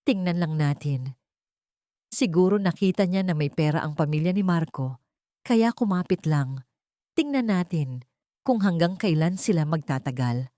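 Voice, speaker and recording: A woman's voice: lowish voice, expressive, normal speed, long pauses, warm tone, dry recording, studio quality, close to an everyday voice. A woman voicing a scornful, gossiping neighbour woman in an audio story. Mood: snide.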